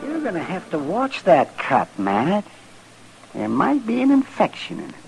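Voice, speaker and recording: An actor playing a crotchety, fretful frontier doctor in a radio western, grumbling with theatrical, querulous flair. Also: male voice, high voice, wildly swooping pitch, measured pace, gravelly, faint music behind, heavily acted, poor audio. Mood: grumbling, needling. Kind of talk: old-time radio western acting.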